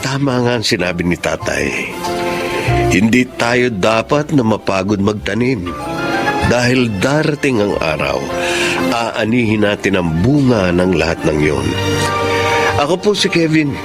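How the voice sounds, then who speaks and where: A man's voice: lowish voice, big pitch swings, a little slow, pauses often. An actor playing a humble, polite young male tutor with a Filipino accent, speaking earnestly in a radio drama. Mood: reflective, hopeful. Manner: respectful, earnest. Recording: music under the voice.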